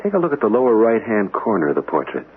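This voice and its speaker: Earnest, lively-pitched radio-drama man. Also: quick pace, husky, full character voice. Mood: absorbed. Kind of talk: 1970s radio drama acting. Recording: dull lo-fi radio, faint noise.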